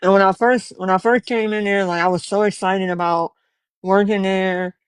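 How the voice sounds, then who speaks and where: A man's voice: high-pitched, steady tone, normal speed, smooth, no echo, clean studio sound. A man in his twenties hosting a podcast, earnest and encouraging, telling stories from his own start as a barber. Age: 20s. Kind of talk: casual interview chat.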